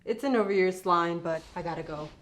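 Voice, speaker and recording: A woman's voice: fairly low pitch, brisk, a little hiss or hum, natural voice. Woman as a coy young teaching assistant. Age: young adult. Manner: coy, wry. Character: teasing, bookish young female teaching assistant. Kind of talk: comedy web series acting.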